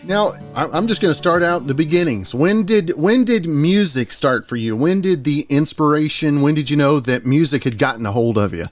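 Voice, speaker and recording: Man, radio host, folksy, friendly, restarts questions, higher voice, faint music behind. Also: lively intonation, normal speed, few pauses. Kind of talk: casual radio interview.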